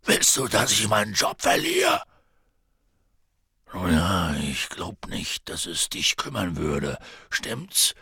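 A man voicing a huge, gruff, anxious half-giant groundskeeper in an audiobook, rambling nervously and clipping his words. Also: low-pitched, wildly swooping pitch, slow, pushed and bright, no room sound, studio quality, heavily acted. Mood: flustered. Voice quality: gravelly, husky. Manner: rambling, nervous, drops word endings. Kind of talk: audiobook character voice.

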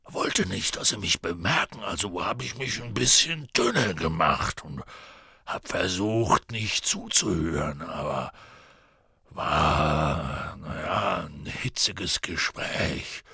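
Gruff half-giant, husky rasp, slow rumbling delivery, dry close sound. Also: male voice, expressive, bright, forceful tone, no room sound, full character voice. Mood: flustered. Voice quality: gravelly, husky. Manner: rambling, nervous, drops word endings. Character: huge gruff male half-giant groundskeeper, anxious. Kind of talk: audiobook character voice.

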